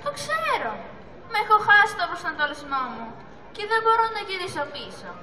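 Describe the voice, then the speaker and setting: A woman's voice: very high-pitched, very animated, pushed and bright, a faint crowd, exaggerated voice. A teenage girl playing a small, lonely, anxious female sea turtle in an amateur school puppet play, with theatrical pleading. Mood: sorrowful. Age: young teenager. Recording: echoey hall recording with audience noise.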